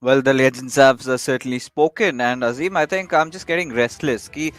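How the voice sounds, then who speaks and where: A man's voice: mid-range voice, brisk, dry recording, clean studio sound. A man doing live esports co-commentary, hyped and full of fillers, at times slipping into Hindi.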